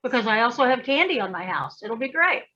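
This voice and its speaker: A woman's voice: on the high side, big pitch swings, fast talker, bright, forceful tone, lo-fi sound. A woman guest in a casual conversation, talking animatedly and acting out imagined voices as she makes her points. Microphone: video call.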